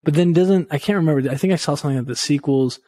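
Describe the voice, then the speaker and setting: A man's voice: a little high, rapid-fire, dark tone. A man hosting a casual podcast, friendly and chatty, laughing easily and sometimes losing his words. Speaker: podcast host. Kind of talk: casual chat.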